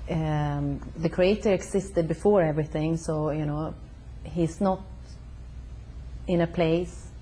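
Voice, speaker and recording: A woman from Sweden, a guest in an interview at her home, who speaks haltingly with many fillers. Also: low voice, fairly even intonation, dark tone, a little hiss or hum, poor audio. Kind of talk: conversational interview.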